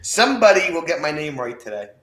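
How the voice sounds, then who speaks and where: A man on a video call in a casual livestream chat, his voice going hoarse, giggling constantly. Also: fairly high pitch, very animated, fast talker, fairly bright tone. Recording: echoey room.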